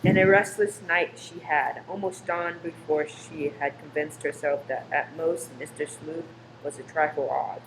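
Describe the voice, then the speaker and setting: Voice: mid-range voice, steady tone, a little slow. A narrator reading a dramatic scripted radio play story. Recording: clear background noise.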